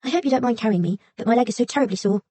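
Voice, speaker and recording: A woman's voice: fairly high pitch, very fast, warm tone, slightly rough, no room sound, voice pushed up. A woman voicing a sly fox spirit in a storybook, sweet and coaxing at first, haughty and stern later.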